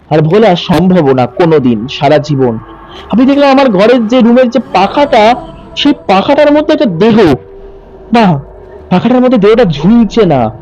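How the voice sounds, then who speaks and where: A high-pitched man narrates a ghost story. His delivery swings widely in pitch and builds suspense. He leans on dramatic pauses and repeated phrases as he tells it in the first person. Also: warm tone, lo-fi sound.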